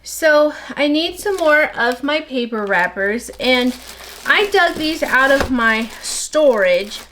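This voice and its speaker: A middle-aged woman soap maker with a slightly nasal voice, chatting casually and rambling as she works, talking fast without pausing for breath. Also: sits high, animated, fairly bright tone, a little hiss or hum, rough recording. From United States. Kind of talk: casual chat while working.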